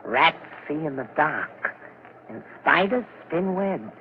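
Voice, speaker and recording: A muffled, noisy 1940s radio recording carries a cruel, misanthropic man. His high voice swings widely, brooding and sinister, in theatrical horror-drama style. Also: expressive, slow. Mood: dark relish. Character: bitter, cruel, misanthropic man, formerly blind. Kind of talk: old-time radio horror acting.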